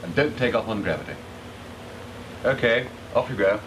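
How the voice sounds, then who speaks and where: A man's voice: on the low side, lively intonation, normal speed, noisy background, natural voice. An actor playing a brusque flight instructor officer who scolds his trainees. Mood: stern. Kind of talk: 1940s British film acting.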